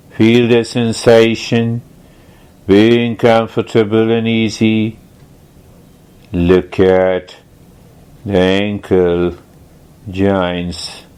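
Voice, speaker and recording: A man teaching guided meditation in a deliberate, explanatory manner, repeating key phrases and posing questions as he instructs. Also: on the low side, a little mellow, smooth.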